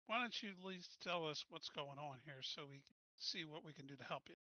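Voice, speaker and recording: A man playing a tabletop roleplaying game, asking question after question in a casual way. His voice is very bright and somewhat high. The sound is dry and muffled. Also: a little fast, no room sound. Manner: inquisitive, asks follow-up questions. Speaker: player. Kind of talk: casual in-character tabletop play.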